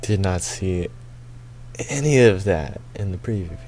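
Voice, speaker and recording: A man's voice: low voice, expressive, moderate pace, darkish tone, soft background music, poor audio. A young man hosting a gaming video with casual, informal, rambling commentary. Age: young adult. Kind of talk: casual let's play commentary.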